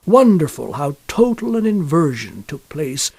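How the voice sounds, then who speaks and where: A man reading a gothic tale as a gloomy, brooding nobleman narrator, in solemn, measured phrases with deliberate pauses. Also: a little high, very animated, gravelly, a little mellow, husky, no echo, heavily acted. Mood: haunted, wistful. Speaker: audiobook reader.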